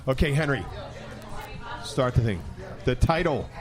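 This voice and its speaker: A man's voice: medium pitch, animated, unhurried, crowd noise. A man hosting a podcast in a casual, joking chat style. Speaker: podcast host. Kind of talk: casual comic bar chat.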